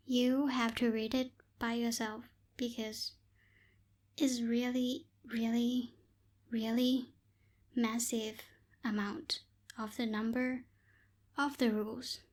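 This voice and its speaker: A young woman voicing a bossy but secretly caring tsundere witch in a close-miked ASMR roleplay, softly scolding and a little hesitant. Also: fairly high pitch, flat delivery, slow, pauses often. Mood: protective, matter-of-fact. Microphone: close binaural microphone.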